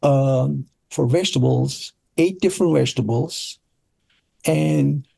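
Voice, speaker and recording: A middle-aged man with a Turkish accent, telling stories casually as an interview guest, often halting and searching for words. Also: mid-range voice, creaky, dark tone, husky, no room sound. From Turkey. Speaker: interview guest, product designer. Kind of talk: casual conversational storytelling.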